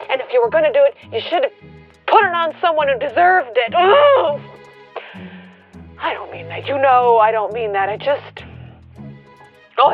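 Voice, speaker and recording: A grieving rural woman in a radio play. Her high voice pleads and argues in exasperation, sometimes stammering. The sound is thin like a phone line, with music behind it. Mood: pleading, exasperated, then subdued, weary. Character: grieving, angry rural woman arguing with God.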